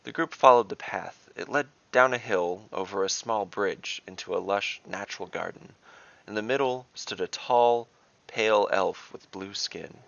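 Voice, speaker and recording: Low, gravelly male fantasy narrator. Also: moderate pace, a bit bright, no echo, lo-fi sound. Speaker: narrator and game master. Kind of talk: scripted fantasy story narration.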